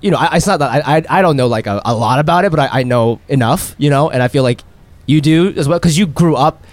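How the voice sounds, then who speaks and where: A man's voice: a little high, fast talker, no room sound. A young man co-hosting a podcast, asking curious questions in a hesitant, casual way. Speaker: podcast co-host. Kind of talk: casual chat.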